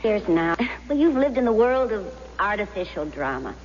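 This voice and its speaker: An actress playing a persuasive, affectionate, confident wife in radio drama, coaxing with emphatic theatrical delivery. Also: female voice, mid-range voice, very animated, normal speed, darkish tone, lightly acted. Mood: coaxing, teasing. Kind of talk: 1970s radio drama acting. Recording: dull, noisy, reverberant old radio recording.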